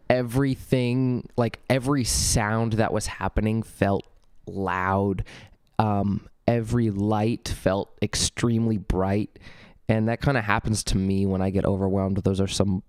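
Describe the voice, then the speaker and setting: A young man with a low, smooth voice that a cold has left slightly gravelly talks through his own podcast. He rambles loosely, full of ums and false starts. The sound is close and dry on a studio mic. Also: level tone, normal speed, a little mellow, dry recording. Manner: rambling, many ums and restarts. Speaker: podcast host. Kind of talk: casual solo podcast talk. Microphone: studio vocal mic.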